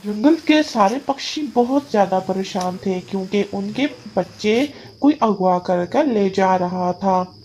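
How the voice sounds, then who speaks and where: A woman's voice: mid-range voice, expressive, a little slow, mellow, slight background noise. A woman reading a scripted children's story as its narrator. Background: music.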